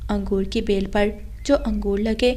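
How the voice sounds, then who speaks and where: Woman doing a helpful lady bird friend in a cartoon, calm, mid pitch, giving advice. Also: unhurried, close to an everyday voice. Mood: reassuring. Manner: calm, advising. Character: helpful, reassuring female sparrow friend.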